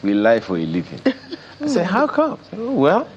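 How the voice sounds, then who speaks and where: A man's voice: fairly high pitch, huge pitch swings, moderate pace, highs cut off, slight background noise. A man co-hosting a television interview in a casual, teasing, bantering way. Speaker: TV co-host, interviewer. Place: television studio.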